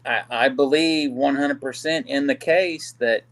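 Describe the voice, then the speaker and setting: Man from Kansas, live-stream guest, average pitch, halting with lots of "you know.". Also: a bit bright. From Kansas City, Kansas. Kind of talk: casual live-stream discussion.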